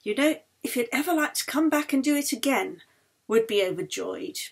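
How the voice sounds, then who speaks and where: An earnest, admiring male doctor in an audiobook novel, played by a woman. The voice is light and high for a man, slightly husky, and speaks with courteous, effusive conviction. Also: expressive, moderate pace, exaggerated voice. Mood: delighted, admiring.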